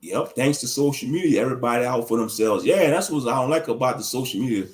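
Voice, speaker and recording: A man's voice: mid-range voice, lively intonation, fast. A man talking casually on a livestream, rambling and preachy in a slangy way, answering the chat and laughing at his own jokes. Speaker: livestream commentator.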